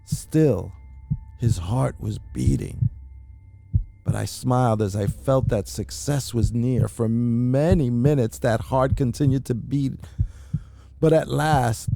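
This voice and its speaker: A man giving a theatrical, deliberate first-person dramatic reading of a horror story, as an anxious, mad murderer confessing. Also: mid-range voice, gravelly, dark tone. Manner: theatrical, deliberate, with emphatic repetitions. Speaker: amateur narrator reading a horror short story.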